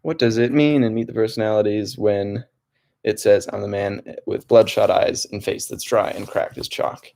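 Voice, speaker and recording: A young man hosting a relaxed livestream from his home desk, talking softly in a calm, rambling way with many restarts. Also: lowish voice, normal speed, creaky. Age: young adult. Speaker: livestream host.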